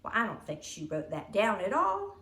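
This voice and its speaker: Expressive woman storyteller in a roomy space. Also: mid-range voice, moderate pace. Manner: animated, acts out dialogue. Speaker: storybook reader. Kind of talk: expressive read-aloud narration of a children's story.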